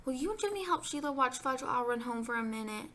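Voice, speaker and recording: Storybook mom, played by a woman: motherly and coaxing, slightly unsure, bright and expressive. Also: sits high, quick pace, voice pushed up. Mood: hesitant. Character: fond, hesitant mother of a toddler.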